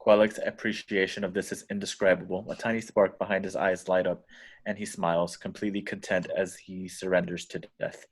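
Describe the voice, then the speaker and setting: Low-voiced man reading stage directions. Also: little pitch movement, a little fast, husky. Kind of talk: scripted narration at a table read.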